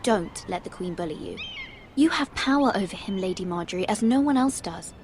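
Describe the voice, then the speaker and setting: A woman's voice: medium pitch, expressive, brisk, a little mellow, slight background noise, natural voice. An actress playing an earnest, anxious young lady-in-waiting in a video game, pleading in formal, deferential speech. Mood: urgent pleading. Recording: faint music behind the voice.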